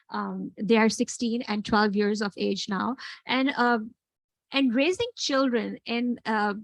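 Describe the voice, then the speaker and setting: A woman in her forties with a background and a fairly high voice with a gravelly edge. She speaks with earnest reflection, pausing and restarting often. She moves between personal talk and readings from her own book in a dry, close-sounding space. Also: fairly bright tone, no room sound. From Karachi, Pakistan. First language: Urdu. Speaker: author and guest speaker.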